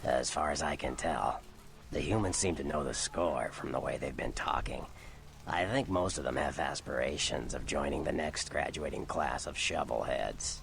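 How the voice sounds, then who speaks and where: An extremely deep, heavily gravelled male vampire fixer from a video game. He is streetwise, blunt and sardonic, talking with dry contempt and taking his time. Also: moderate pace, a bit bright, slight background noise, heavily acted. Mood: gruff, matter-of-fact.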